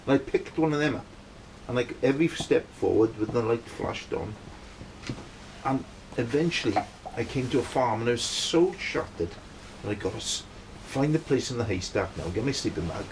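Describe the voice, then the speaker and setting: A Welsh man in middle age tells a story in a loose, meandering way and keeps pausing to ask "right?" His voice sits at an ordinary middle pitch. A faint hiss runs under the recording. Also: lively intonation, poor audio. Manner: rambling, keeps checking in with "right?". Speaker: band member telling a story. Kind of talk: casual storytelling.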